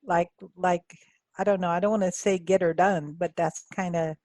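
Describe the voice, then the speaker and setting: A woman's voice: lowish voice, fairly even intonation, moderate pace, darkish tone, no echo. A woman co-hosting a radio show in casual chat, with an informal, colloquial way of talking.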